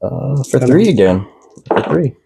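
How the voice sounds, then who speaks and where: A man's voice: mid-range voice, very animated, a little slow, creaky, dark tone, a little hiss or hum. A man playing a tabletop game as the opponent, chatting relaxedly about his plays. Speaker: co-host, opponent player. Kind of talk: casual tabletop game talk.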